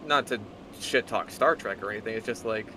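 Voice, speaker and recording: A man's voice: on the low side, brisk, background noise. A man giving casual commentary as a co-host, blunt and sardonic, swearing freely. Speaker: co-host reading a story aloud.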